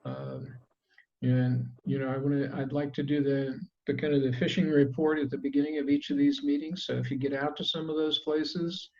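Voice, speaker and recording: Relaxed man hosting a video call. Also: on the high side, normal speed. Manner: relaxed, chatty, many fillers. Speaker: meeting host. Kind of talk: casual meeting wrap-up. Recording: dull lo-fi call audio.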